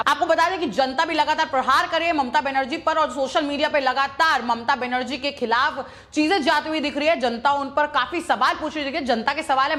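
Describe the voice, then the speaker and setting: A woman's voice: high voice, a bit bright. A woman presenting opinionated news commentary in an emphatic, accusatory, repetitive way.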